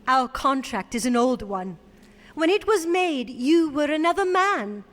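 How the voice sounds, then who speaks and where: Sorrowful young fiancee, high voice. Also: female voice, big pitch swings, unhurried, a bit bright, heavily acted. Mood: sorrowful, resolute. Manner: earnest, formal. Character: sorrowful, dignified young fiancee releasing her betrothed. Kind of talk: radio drama acting. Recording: long hall reverb.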